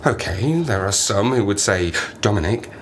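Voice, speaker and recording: Male survivor, played by an actor, audio drama monologue: sardonic, rambling, isolated and cracking up, very lively intonation, faint ambient noise. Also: fairly low pitch, moderate pace, close to an everyday voice. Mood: wry, manic. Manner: rambling, self-mocking, sardonic, talks to himself. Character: stranded plane-crash survivor, wry, lonely, rambling, half-mad.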